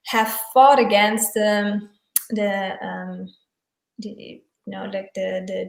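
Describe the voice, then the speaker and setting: A woman whose native tongue is German speaks in a flat, even tone, pausing often with fillers and restarting her sentences. She is heard over a video call in an echoey room. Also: medium pitch. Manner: hesitant, many fillers and restarts. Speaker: guest, tarot deck creator and photographer. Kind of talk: casual interview chat.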